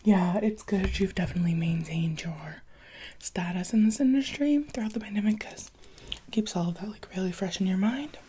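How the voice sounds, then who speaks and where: A woman speaking very softly and breathily, often in a whisper, as a gentle, encouraging interviewer in an ASMR roleplay. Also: mid-range voice, normal speed, slight background noise. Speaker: ASMR roleplayer playing a restaurant job interviewer.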